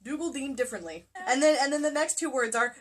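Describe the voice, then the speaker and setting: A high-pitched female voice reads prose aloud in a mock-dramatic way. She is jokey and informal, slipping between the narration and offhand comments as a co-host would. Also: lively intonation, fast talker. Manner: jokey, breaks into laughter while reading. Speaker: co-host reading a romance novel aloud. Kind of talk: comedic dramatic reading with casual asides. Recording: faint background noise, amateur home video.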